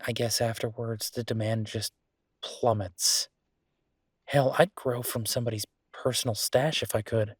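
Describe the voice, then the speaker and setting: Casting: male actor as affectionate, laid-back restaurant owner for boyfriend roleplay audio; mid-pitched, casual, chatty delivery. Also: dry recording, studio quality, close to an everyday voice. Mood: mildly exasperated. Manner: casual, chatty, thinking aloud. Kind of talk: boyfriend roleplay audio drama, one-sided conversation.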